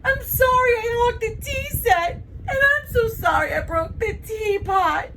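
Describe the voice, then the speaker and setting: A woman's voice: very high voice, sing-song, a little slow, slight background noise, pitched above the performer's own voice, full character voice, poor audio. A woman voicing a fancy, dramatic little girl in a storybook, with a theatrical, exclamatory manner. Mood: tearful, apologetic.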